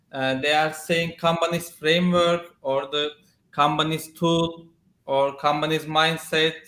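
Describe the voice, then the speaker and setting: A man's voice: on the high side, bright, forceful tone. A man hosting an interview in English, recorded with a roomy echo. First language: Turkish. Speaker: interview host. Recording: roomy, echoey sound.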